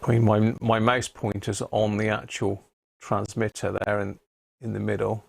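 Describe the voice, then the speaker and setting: A man's voice: lowish voice, flat delivery, normal speed, vocal fry, slightly rough, no echo. A man hosting a casual live stream, explaining things informally and without hurry as he demonstrates his hobby.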